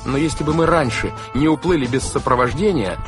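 A man's voice: mid-range voice, very fast, over music, close to an everyday voice. A man voicing an earnest, indignant young boy in an audiobook, pleading with urgency. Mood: urgent pleading. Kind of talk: theatrical audiobook character acting.

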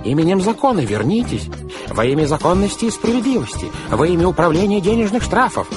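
A man's voice: medium pitch, very animated, quick pace, over music, pitched above the performer's own voice, natural voice. A man voicing a stern, officious, flustered guardian in an audiobook, pompous and emphatic as he gives orders. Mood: frantic commanding. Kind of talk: theatrical audiobook character acting.

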